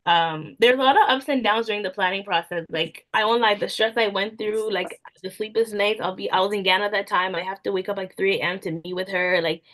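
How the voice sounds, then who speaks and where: A young woman, an event planner, answering interview questions in relaxed casual talk, with lots of "like" fillers. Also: mid-range voice, fairly quick, hardly any pauses, low-quality recording. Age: young adult. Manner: soft-spoken, frequent "like" fillers.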